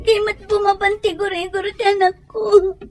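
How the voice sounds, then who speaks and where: Actress as distraught young mother, very high voice, tearful, pleading, poor and desperate, audio drama. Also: flat delivery, normal speed, music in the background. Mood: desperate, crying. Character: distraught poor young mother begging for her sick child. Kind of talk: Ilocano audio drama acting.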